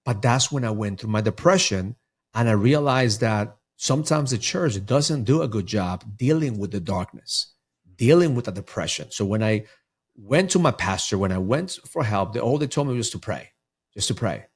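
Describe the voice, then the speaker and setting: A man's voice: on the low side, expressive, moderate pace, slightly rough. A middle-aged man from Ecuador, a mindset coach talking earnestly as a podcast guest, often repeating words as he restarts. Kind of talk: podcast interview.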